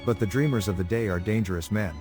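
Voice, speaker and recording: Low male voice, solemn quotation reader, quiet music underneath. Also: fast talker. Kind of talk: reading literary quotations. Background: faint music.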